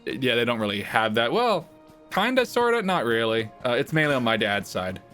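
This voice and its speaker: Southern man from Louisiana, easygoing stream host, loose storytelling with cursing, medium-pitched voice, faint music underneath. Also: sing-song, moderate pace, fairly bright tone. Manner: laid-back storytelling with profanity and laughs. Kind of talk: casual stream chat. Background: faint background music.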